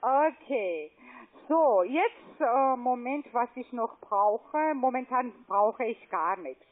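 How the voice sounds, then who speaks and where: A woman on a phone call posing as a bank agent, speaking slowly in halting, broken sentences and repeating numbers back. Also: on the high side, lively intonation. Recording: tinny phone line.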